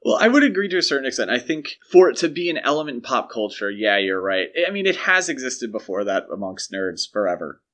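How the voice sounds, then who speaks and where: A man co-hosting a podcast, talking casually and explaining his measured opinions on pop culture. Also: medium pitch, sing-song, a bit bright. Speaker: podcast co-host.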